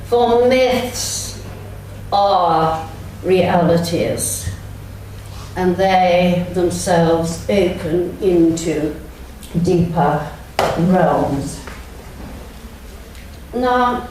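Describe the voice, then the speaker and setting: An older Englishwoman gives a slow, reflective lecture and often pauses to search for words. Her voice booms around the vast church because the mic sits well away from her, and a faint hiss runs beneath her speech. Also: expressive, a little mellow. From England. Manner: slow, thoughtful delivery with frequent ums. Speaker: guest lecturer. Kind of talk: church lunchtime lecture, reading quotations aloud. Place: large church. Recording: very echoey church, speaker heard from a distance, faint background noise.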